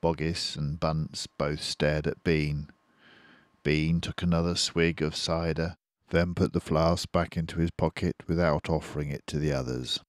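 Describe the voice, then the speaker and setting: An older man reading a children's book aloud as storyteller, unhurried and expressive. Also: a bass voice, no room sound, clean studio sound.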